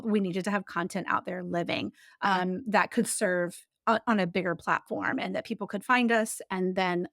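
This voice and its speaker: A woman's voice: medium pitch, creaky, fairly bright tone, no room sound, studio quality. A woman in her forties, a business coach guesting on a podcast, telling stories in a chatty way with frequent laughs. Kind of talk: casual interview chat.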